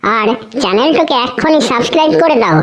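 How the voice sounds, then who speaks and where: Woman voicing a high-pitched, cheeky cartoon schoolboy. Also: expressive, quick pace, a crowd in the background. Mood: chatty, pleading. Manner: sing-song recitation with repeated words. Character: cheeky, overconfident schoolboy reciting absurd crocodile essays. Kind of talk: comedy cartoon voice acting.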